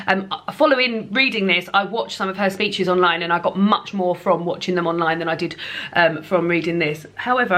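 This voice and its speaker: A woman's voice: mid-range voice, pushed and bright. A British woman giving casual, rambling book reviews to viewers, repeating words for emphasis.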